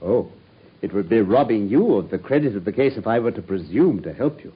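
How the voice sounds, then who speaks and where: A man's voice: lowish voice, animated, brisk, breathy edge. A man playing a brilliant, cool-headed detective who speaks with precise, ironic politeness in a radio drama. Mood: dryly polite. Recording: dull lo-fi radio broadcast.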